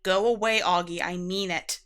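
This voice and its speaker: Woman playing a flustered young vampire, lower-pitched voice. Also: fairly even intonation, fairly bright tone, clean tone, lightly acted. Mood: annoyed. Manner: halting, defensive, sarcastic asides. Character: flustered young female vampire, exasperated but caring. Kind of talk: ASMR roleplay acting, conversational.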